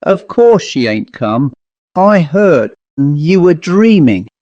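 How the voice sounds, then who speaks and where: A stern country housewife in an old tale, played by a male narrator. The voice often sits higher than the reader's own, with a curt, dismissive and guarded manner. The sound is dry. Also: very animated, slow pace, a little mellow, dry recording, highs cut off. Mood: stern, scornful. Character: stern, guarded rural New England housewife. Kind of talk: dramatised storybook reading.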